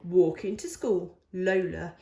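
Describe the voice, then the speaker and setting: A woman's voice: on the low side, steady tone, takes it slowly, vocal fry, warm tone, echoey room, slight background noise. A woman reading a children's storybook aloud as narrator for pre-school listeners.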